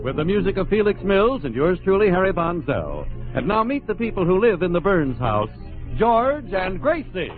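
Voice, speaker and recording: A man's voice: high voice, sing-song, moderate pace. A man announcing an old radio comedy show in scripted period style. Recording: tinny radio broadcast, loud music.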